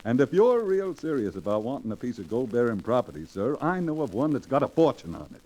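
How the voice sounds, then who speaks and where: Male frontier villain: medium pitch, animated, greedy salesman patter, old radio drama. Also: quick pace, rough recording. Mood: eager, persuasive. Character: greedy, smooth-talking Western swindler.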